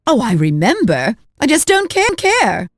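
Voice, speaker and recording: Evil sorceress for a fantasy game: haughty, cruel, slow, swooping delivery, close dry sound. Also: female voice, high voice, wildly swooping pitch, dry recording, heavily acted. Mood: callous. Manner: mocking, theatrical, drawn-out. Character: haughty, cruel, mocking female villain sorceress. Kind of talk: video game voice acting.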